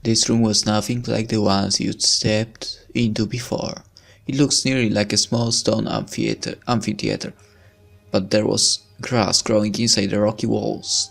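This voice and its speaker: A man's voice: lowish voice, level tone, unhurried, creaky. A man reading a visual novel aloud as narration, breaking into casual commentary as a let's player.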